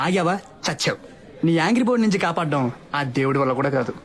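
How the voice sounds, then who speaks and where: Fast-talking, cocky young man played by an actor. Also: on the high side, expressive, natural voice. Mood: mocking, teasing. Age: young adult. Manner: flippant, mock-dramatic, slangy. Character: cocky, teasing young male gaming software engineer. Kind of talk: comedy film dialogue. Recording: film audio with music underneath.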